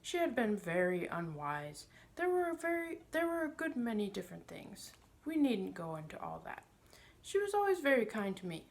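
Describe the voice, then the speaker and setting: A woman's voice: a little high, sing-song, moderate pace. A woman voicing a pompous, sly older male magician in a storybook, smug and condescending, with a wheedling manner. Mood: confiding.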